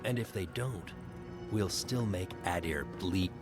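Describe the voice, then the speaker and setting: An actor playing a gruff male fighter guarding a tower, with blunt, commanding lines in a video game. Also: low-pitched, a little slow, over music. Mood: firm.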